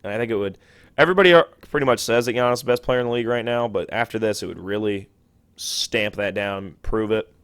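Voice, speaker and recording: Young male podcast host, lowish voice, quick chatty delivery with self-corrections, dry close mic. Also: a bit bright, clean tone, dry recording. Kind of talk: solo sports podcast talk.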